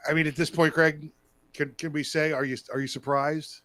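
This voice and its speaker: American guy chatting politics, voice a touch high, very dry audio, keeps stopping and repeating himself. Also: level tone, moderate pace, fairly bright tone, no echo. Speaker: political talk show co-host. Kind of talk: casual political commentary chat.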